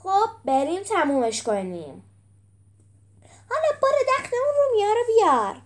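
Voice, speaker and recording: A woman's voice: very high-pitched, very animated, a little mellow, pitched above the performer's own voice. A woman voicing a young male zebra gladiator in a storybook, an earnest, combative, self-doubting underdog. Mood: gritted resolve.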